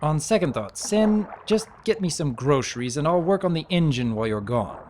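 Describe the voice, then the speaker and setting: A man's voice: on the high side, expressive, normal speed, a little mellow, echoey room, slight background noise, pitched above the performer's own voice, close to an everyday voice. A man voicing an irritable, pampered noble stallion baron from a cartoon pony story, demanding and haughty. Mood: resigned, bossy.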